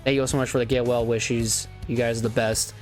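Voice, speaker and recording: Hoarse young man talking earnestly over guitar. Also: medium pitch, flat delivery, fairly quick. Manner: rambling and earnest, with stumbles and restarts. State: hoarse, losing his voice. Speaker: sim racing commentator. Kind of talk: casual outro after play-by-play commentary.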